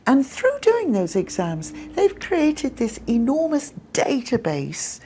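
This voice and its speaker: A woman's voice: high-pitched, wildly swooping pitch, slow pace, mellow, slight background noise. A woman hosting a live English-teaching show, explaining points with examples.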